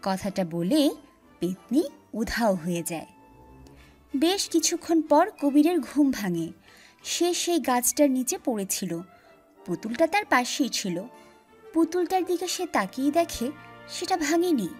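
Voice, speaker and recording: A woman's voice: high-pitched, big pitch swings, measured pace, pauses often, darkish tone, soft background music. A woman telling a scripted children's story as its narrator.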